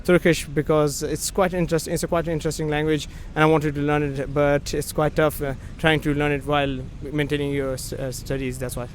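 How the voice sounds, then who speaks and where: A man's voice: fairly high pitch, little pitch movement, a little fast, hardly any pauses, a little hiss or hum. A young man with a Pakistani accent, a native Urdu speaker, answering a street interview in earnest English with frequent restarts. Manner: earnest, repeats "quite", restarts often. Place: outdoors on a city street.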